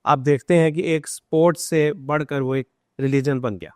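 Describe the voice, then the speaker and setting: A man's voice: a little high, fairly even intonation, moderate pace, darkish tone. Male podcast host, dry sound. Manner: attentive, brief affirmations, echoes guest's words. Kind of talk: conversational interviewing.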